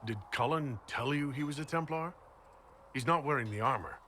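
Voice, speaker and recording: A man playing a composed, earnest fantasy hero in a video game, measured and inquisitive. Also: on the high side, bright, forceful tone, slight background noise. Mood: earnest, resolute.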